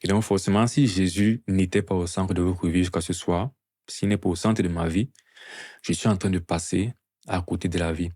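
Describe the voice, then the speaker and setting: Guy with a really low voice preaching, earnest and teachy, asks the listeners questions, dry close sound. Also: fairly even intonation, normal speed, darkish tone, no echo. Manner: earnest, didactic, rhetorical questions. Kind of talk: evangelistic sermon to a gathering.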